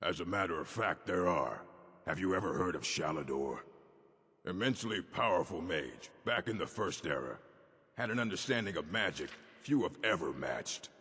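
A gruff old orc keeper of books for a video game. The voice is deep and heavily rasping, measured and dry, ringing with long reverb. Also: male voice, animated, fairly bright tone, exaggerated voice. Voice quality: deep, gravelly rasp. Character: gruff old male orc librarian, stern and learned. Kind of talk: video game dialogue acting. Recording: long reverb of a stone hall.

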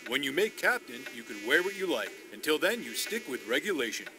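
A man's voice: fairly high pitch, big pitch swings, a little fast, background noise. An actor playing an easygoing, teasing young male knight who leads a bunk room in a video game. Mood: teasing, firm. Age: young adult. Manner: teasing, casual. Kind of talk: scripted video game acting.

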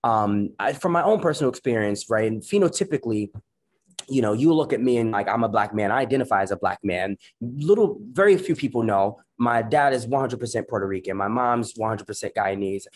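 A man's voice: fairly low pitch, animated, fairly quick. A man whose first language is Spanish, speaking earnestly on a video call panel, often restarting and asking "right?". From United States. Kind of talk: reflective panel discussion. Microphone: webcam on video call.

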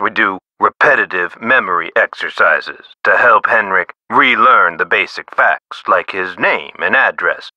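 Game casting: chatty eccentric male nurse, low forceful voice, whimsical swooping emphasis. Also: no room sound, heavily acted, rough recording. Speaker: nurse character in an adventure game. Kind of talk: video game character dialogue, explanatory.

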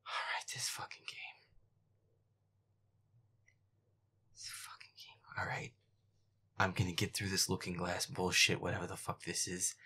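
A man playing a feisty werewolf boyfriend who grumbles and swears sarcastically through a scary video game in a breathy, whispery voice. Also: deep voice. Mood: exasperated. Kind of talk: gameplay roleplay, muttered reactions.

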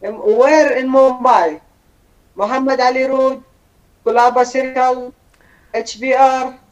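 A man's voice: very high voice, fairly even intonation, unhurried. Young man on dull lo-fi webcam. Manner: mocking, insistent, calls people bro. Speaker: random video chat stranger. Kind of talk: casual video chat.

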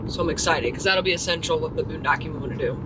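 A woman's voice: sits low, racing, background noise. An American woman chatting informally and upbeat as co-host of a casual travel vlog.

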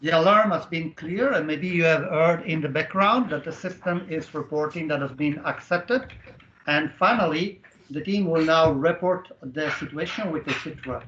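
A middle-aged man explaining a software demo step by step with halting, non-native phrasing, over a video-call headset. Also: on the high side, a little hiss or hum. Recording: dull, roomy online call audio.

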